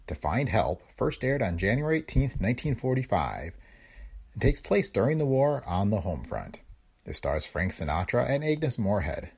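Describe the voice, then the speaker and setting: A man's voice: deep voice, darkish tone, slightly rough. A man hosting a podcast, reading a scripted introduction. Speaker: podcast host.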